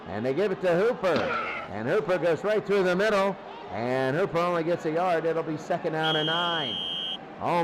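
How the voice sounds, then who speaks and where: High-voiced man calling football over noise. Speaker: play-by-play sports commentator. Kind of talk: American football radio-style play-by-play commentary.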